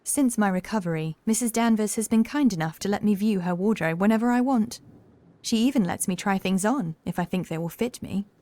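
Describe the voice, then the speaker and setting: A woman's voice: mid-range voice, fairly quick, mellow, no room sound, lightly acted. A woman playing a gracious, insistent housekeeper in a horror audiobook, speaking with polite, proper manners. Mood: pleased, polite. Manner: polite, genteel, proper phrasing. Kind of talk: acted audiobook dialogue.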